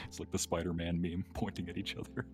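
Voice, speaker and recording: A man's voice: low-pitched, moderate pace, dark tone. A man chatting casually as a guest voice actor on a livestream, with a playful, folksy storytelling manner. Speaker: voice actor guest on a live stream. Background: guitar music.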